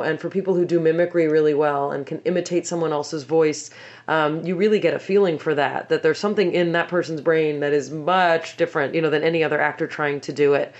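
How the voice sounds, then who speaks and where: A woman with a rough, scratchy voice answering interview questions as a voice actor, chatty and full of fillers. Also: low-pitched, darkish tone, dull audio.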